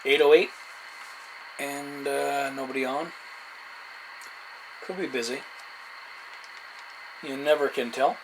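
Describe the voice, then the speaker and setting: Easygoing cb radio guy, kinda halting, casual chat at his desk, noisy hissy recording. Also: medium pitch, steady tone. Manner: halting, laid-back, uses radio jargon. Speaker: amateur CB radio hobbyist. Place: indoor desk beside CB radio sets. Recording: noisy room with radio hiss. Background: radio static and room noise.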